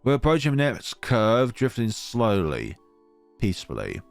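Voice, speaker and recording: A man's voice: fairly low pitch, clean tone, dry recording. A man reading a visual novel aloud as a let's-play narrator, expressively, with asides of commentary.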